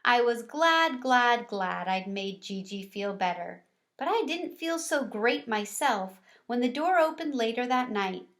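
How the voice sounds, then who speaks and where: Higher-voiced woman reading a story aloud. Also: lively intonation, a little slow, fairly bright tone. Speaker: reader of a children's book aloud. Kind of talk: casual read-aloud storytelling for children.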